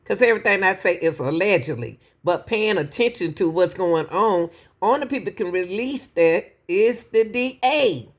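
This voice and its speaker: A woman giving casual opinion commentary as a channel host, slow and preachy, often calling out to "y'all.". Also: mid-range voice, expressive.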